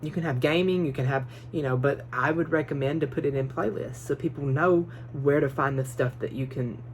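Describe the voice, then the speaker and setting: A man giving advice in a casual phone vlog from his bedroom, talking nervously and quickly, rambling with lots of fillers and restarts. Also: a little high, a little hiss or hum. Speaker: vlogger. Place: small bedroom. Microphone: phone built-in mic, no microphone.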